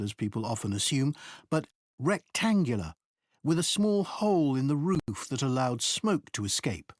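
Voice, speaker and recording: Man presenting, expressive lilting pitch, dry sound. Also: dry recording. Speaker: presenter in a recorded exam listening test. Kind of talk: scripted academic presentation.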